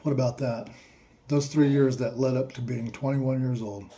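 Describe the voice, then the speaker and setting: A man slowly asking listeners a series of reflective questions, with playful asides in a surprised voice. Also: mid-range voice, fairly even intonation, mellow. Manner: slow, repetitive questions with mock-surprised asides.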